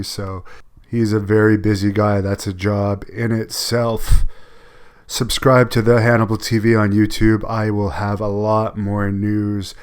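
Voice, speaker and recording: Smooth, low-voiced man with very flat delivery. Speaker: wrestling news host. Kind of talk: wrestling news commentary.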